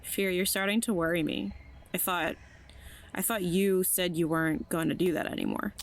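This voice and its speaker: A woman's voice: lowish voice, big pitch swings, moderate pace, gravelly. A woman playing a blunt, loyal, ordinary friend in an audio drama, speaking casually. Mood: worried, urging.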